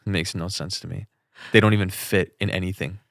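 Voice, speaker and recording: Man, low voice, dry close sound, blunt podcast co-host, casual chat. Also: steady tone, a little fast, vocal fry, fairly bright tone, no echo. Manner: blunt, opinionated.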